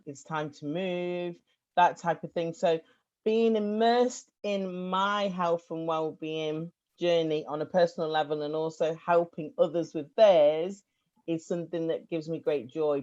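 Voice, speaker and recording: A woman's voice: fairly low pitch, fairly even intonation, measured pace, a little mellow, dull audio. A woman hosting a podcast interview, chatty and enthusiastic. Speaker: podcast host.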